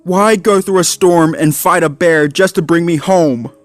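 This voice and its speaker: A man voicing a clumsy young male dalmatian pup who shouts in hurt and anger at his friends. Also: fairly high pitch, measured pace, dry recording, faint music behind, voice pushed up, close to an everyday voice. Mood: hurt pleading. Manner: shouting through tears, then choked and pleading.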